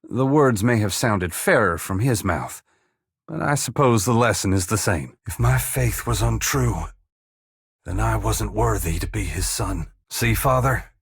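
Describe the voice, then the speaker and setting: An actor playing a humble, weary dead farmer-saint in a fantasy video game, plain-spoken yet solemn, with a gravelly, husky voice. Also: male voice, fairly low pitch, lively intonation, measured pace, no room sound, studio quality, exaggerated voice. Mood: puzzled, guarded, then bitter, wounded. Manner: plain-spoken rustic phrasing mixed with solemn archaic oratory. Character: humble dead farmer-saint, once god-touched, wry, earnest and weary. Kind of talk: dramatic fantasy game dialogue.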